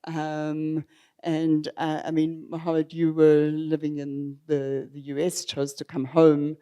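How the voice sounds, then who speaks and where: A woman's voice: deep voice, monotone, slow, warm tone, clear, resonant tone. A woman moderating a panel discussion, speaking hesitantly with frequent ums and restarts.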